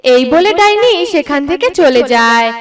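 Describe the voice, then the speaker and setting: Female narrator for story narration: high-pitched, scripted delivery, a touch distant. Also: very animated, moderate pace.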